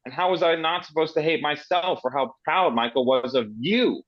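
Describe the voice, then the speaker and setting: A man acting a blunt, regretful, foul-mouthed character in a dramatic script read, speaking haltingly. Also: fairly high pitch, dry recording, muffled recording, natural voice. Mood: remorseful. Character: gruff, regretful, foul-mouthed man, guilt-ridden cousin.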